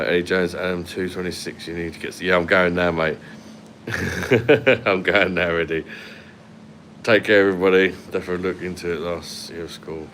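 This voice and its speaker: Deep-voiced London man chatting on a phone. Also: fairly bright tone, a little hiss or hum. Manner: blunt and sweary, laughs often. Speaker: livestream host. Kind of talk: casual live chat reading comments. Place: hotel room at night, indoors. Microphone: phone held at arm's length.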